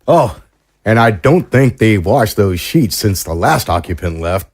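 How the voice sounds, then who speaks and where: Man playing a low-voiced, taunting jailer. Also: animated, a little slow, slight background noise. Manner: taunting, crude. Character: mocking, hostile male jailer and henchman. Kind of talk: audio drama acting.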